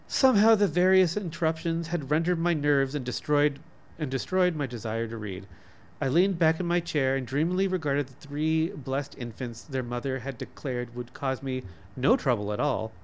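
A man's voice: a little high, normal speed. A man in his forties reading a short story aloud expressively, sometimes stumbling and rereading words. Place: small office, indoors. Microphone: desk microphone in front of him.

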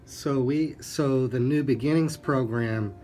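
Man, average pitch, radio co-host, conversational, faint music behind. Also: a little slow, darkish tone. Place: small radio studio. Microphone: studio microphone.

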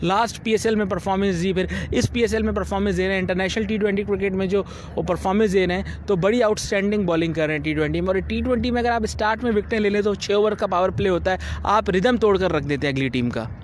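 A man's voice: sits high, fast, slight background noise. A young man working as a sports analyst, giving fluent, assured cricket analysis into a handheld mic outdoors by a stadium. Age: young adult. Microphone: handheld reporter mic.

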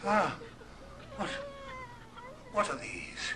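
An actor playing a fearful, humbled old miser with halting, awed, theatrical speech in an old radio drama. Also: male voice, high-pitched, huge pitch swings, background noise, exaggerated voice. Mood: anxious, appalled.

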